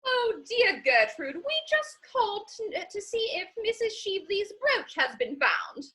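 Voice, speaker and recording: Gushing Victorian society lady for stage comedy; chatty, very bright, animated. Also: very high-pitched, unhurried, full character voice, poor audio. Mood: gossipy, airy. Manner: gushing, rambling, theatrical. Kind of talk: stage comedy dramatic reading.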